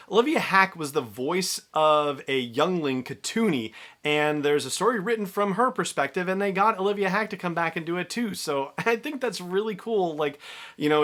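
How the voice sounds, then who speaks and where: A man's voice: a little high, a bit bright. A man giving a conversational solo podcast review in a chatty, enthusiastic way with emphatic stresses.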